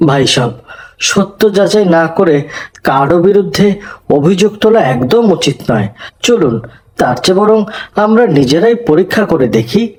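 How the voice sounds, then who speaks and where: An actor voicing a wise, fair-minded, pious village man in a cartoon, measured and moralising. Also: medium pitch, expressive, a little mellow, a little hiss or hum, lightly acted. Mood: earnest, admonishing. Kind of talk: cartoon voice acting.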